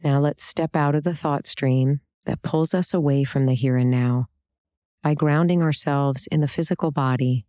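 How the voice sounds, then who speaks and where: A woman leads a guided meditation in a strikingly low, mellow voice. Her delivery is calm and gentle, leaving space between instructions. The sound is close and dry, with little trace of a room. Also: normal speed, dry recording. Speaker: meditation and yoga teacher. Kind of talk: guided meditation, soothing scripted narration.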